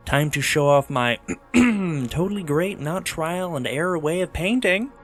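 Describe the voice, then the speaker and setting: A man's voice: a little high, lively intonation, unhurried, a bit bright. A man giving a theatrical, tongue-in-cheek voice-over narration with comic asides, as the host of an art video. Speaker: video host, 3D monster artist. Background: background music.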